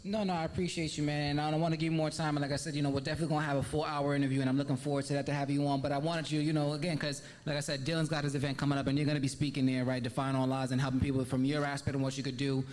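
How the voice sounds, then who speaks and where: A man's voice: fairly high pitch, flat delivery, very fast, few pauses, darkish tone. A man hosting a live event interview, upbeat and casual, ending many phrases with "man" and "right". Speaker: host.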